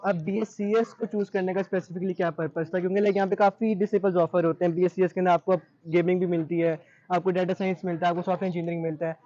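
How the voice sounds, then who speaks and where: A man's voice: sits high, little pitch movement, fast, hardly any pauses, warm tone, highs cut off. A man with a Pakistani accent hosting an interview in a conversational style, asking questions with English words mixed in. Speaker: interviewer, podcast host.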